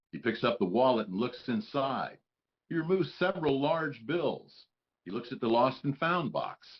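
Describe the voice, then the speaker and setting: Guy narrating stage directions from a script, mid pitch, kinda lo-fi sound.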